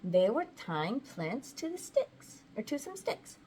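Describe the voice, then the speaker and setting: A woman's voice: on the high side, big pitch swings, slow, a little mellow, slightly rough. A woman reading a children's picture book aloud in a casual style, with chatty asides to the viewers. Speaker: read-aloud host and parent.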